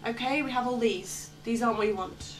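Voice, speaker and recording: A woman's voice: on the high side, brisk, a little hiss or hum, natural voice, rough recording. A woman acting a fed-up shop worker in a naturalistic radio play. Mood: weary, polite. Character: fed-up young female shop worker on minimum wage.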